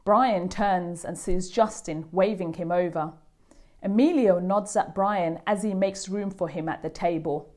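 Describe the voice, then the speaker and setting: A woman reading a children's picture book aloud as a host, with expressive, deliberate storytelling. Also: on the low side. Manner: animated, deliberate delivery for children.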